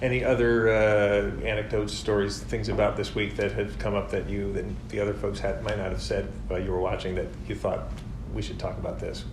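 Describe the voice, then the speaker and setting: A man's voice: on the low side, flat delivery, brisk. A man interviewing students at a conducting workshop, with a friendly, casual manner and short reactions. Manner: friendly, asks short questions and reacts with brief remarks. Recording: roomy with background noise.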